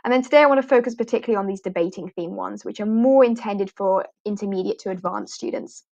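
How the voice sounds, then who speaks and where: A woman's voice: mid-range voice, fast, creaky, a little mellow, no echo, dull audio. A British woman from the UK, an online English teacher, rambling chattily through a live walkthrough, with fillers and light laughs. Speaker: online ESL teacher hosting a livestream.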